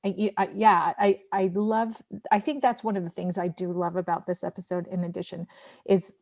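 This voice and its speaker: A woman's voice: lowish voice, flat delivery, normal speed, darkish tone, no echo. Woman on a podcast chatting casually, rambles and restarts a lot, emphatic, very dry sound. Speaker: podcast guest discussing an audio drama. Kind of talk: casual discussion.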